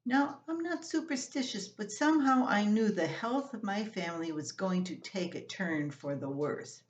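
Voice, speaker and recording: An older woman reading a scripted, humorous family column aloud as its writer, in an expressive storytelling manner. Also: mid-range voice, normal speed, muffled recording. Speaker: columnist reading own writing.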